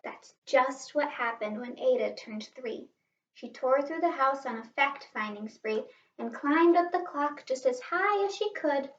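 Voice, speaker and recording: A woman reading a children's picture book aloud in an expressive, animated way, like a teacher stressing the rhymes. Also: high-pitched, a little slow. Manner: animated, asks listeners questions, stresses rhymes. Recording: roomy, slightly distant, dull sound.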